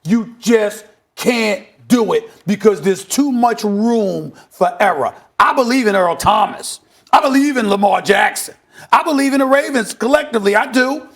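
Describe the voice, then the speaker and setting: A man hosting a televised sports debate, arguing his points in an emphatic, forceful way. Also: high-pitched, lively intonation, normal speed, vocal fry. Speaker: host of a sports debate show.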